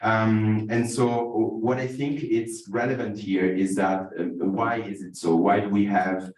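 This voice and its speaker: A man from Italy, speaking as a conference panelist in a measured way with frequent fillers. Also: lowish voice, little pitch movement, darkish tone. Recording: echoey room, distant mic.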